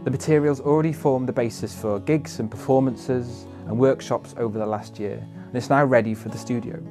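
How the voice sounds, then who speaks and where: A man from West Wales, a folk musician, speaking in a measured, thoughtful way with hesitant pauses. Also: mid-range voice, steady tone. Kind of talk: reflective spoken appeal for a project. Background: plucked guitar music.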